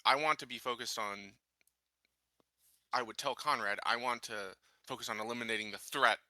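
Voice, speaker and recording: Very bright, forceful man at a game table. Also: medium pitch, normal speed, no echo, studio quality. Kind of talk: casual strategy talk during a roleplaying game.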